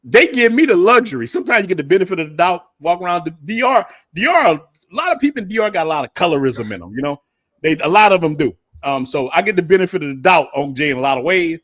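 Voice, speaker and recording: Laid-back guy hosting a chat, slightly high voice, dry sound, lots of ums. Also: very animated, fast, fairly bright tone, no echo. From United States. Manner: laid-back, asks guests questions, trails off with fillers. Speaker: show host. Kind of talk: casual livestream chat.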